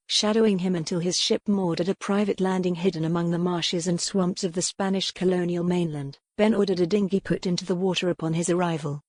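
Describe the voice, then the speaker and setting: Low, mellow woman narrating fiction. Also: dry recording. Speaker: audiobook narrator. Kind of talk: scripted dramatic fiction narration.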